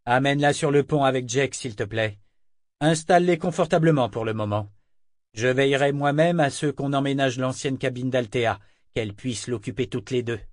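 A man voicing a scheming pirate captain who speaks in a hearty, falsely warm way. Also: mid-range voice, moderate pace, dry recording, clean studio sound, close to an everyday voice.

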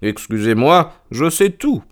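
A man voicing a shrewd detective in an audiobook, courteous and commanding, with deliberate, articulate delivery. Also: medium pitch, big pitch swings, pitched above the performer's own voice. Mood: smooth, assured. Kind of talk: audiobook character voice.

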